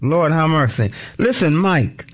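A man hosting a talk radio show in a studio, with a squeaky voice and an exuberant, catchphrase-heavy manner. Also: lively intonation, measured pace, husky. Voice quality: squeaky, high voice. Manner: exuberant, repeats catchphrases, shouts cues at the board.

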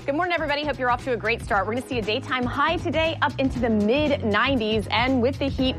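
Brisk woman weather forecaster over music. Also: high voice. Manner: upbeat, polished forecaster delivery. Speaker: meteorologist. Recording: radio broadcast over music bed.

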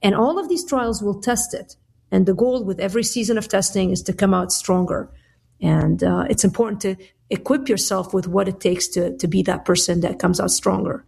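A woman's voice: mid-range voice, normal speed, gravelly, a little mellow, slightly rough. A middle-aged woman from Lebanon answering conversationally as an interview guest, explaining things candidly. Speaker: interview guest, author and Bible teacher.

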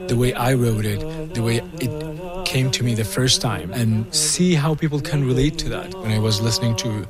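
A man's voice: medium pitch, normal speed. A man reflecting hesitantly and thoughtfully on sharing his work in its original language. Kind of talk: interview reflection. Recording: music playing under the voice.

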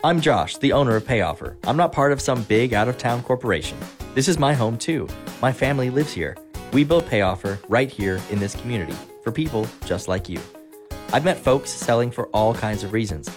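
A man's voice: medium pitch, lively intonation, a little fast. A man reading a scripted radio ad for his own business in an earnest, folksy way. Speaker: business owner in an ad. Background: guitar music.

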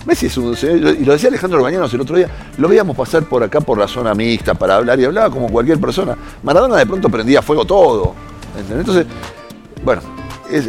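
An Argentine man in a lively studio sports debate, arguing with animation and repeating himself as he builds a point. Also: mid-range voice. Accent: Argentine Spanish. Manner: animated, argumentative, quick. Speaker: sports show panelist.

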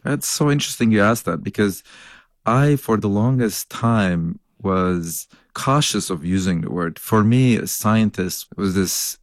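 A middle-aged scientist from Lebanon talks through his past in a very deep voice. He tells his story earnestly, chuckling between thoughts. The sound is close and dry, with no room in it. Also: lively intonation, unhurried, dry recording. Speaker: scientist, professor. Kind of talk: reflective interview answers.

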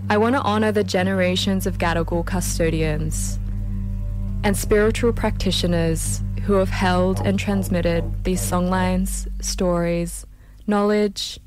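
A woman hosts a community radio show at a very slow pace. Her voice sits low and she sounds earnest and measured. Faint music plays behind her. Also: fairly even intonation, darkish tone, rough recording. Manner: measured and earnest, with some fillers. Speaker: radio host.

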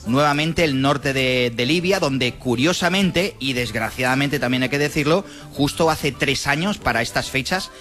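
A man's voice: mid-range voice, moderate pace, fairly bright tone, faint music behind. A man with an accent from Spain giving a conversational, colloquial weather forecast as a forecaster. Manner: colloquial, folksy diminutives.

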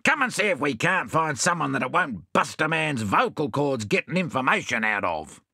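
A man voicing a blunt, hot-tempered male adventurer who yells when he gets impatient. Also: fairly high pitch, sing-song, moderate pace, slightly rough, no echo, studio quality, voice pushed up, exaggerated voice. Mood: impatient, roaring. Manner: loud, impatient.

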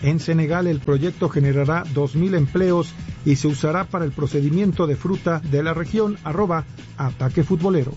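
A man's voice: on the high side, moderate pace, muffled recording, over music. A man reading a sports social media post in a newsreader manner. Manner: newsreader delivery.

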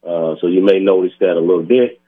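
A hoarse man hosts a community radio talk show. He speaks earnestly and directly to his listeners, leaning on filler words as he urges them on. The audio is thin and tinny, like a phone line. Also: deep voice, brisk.

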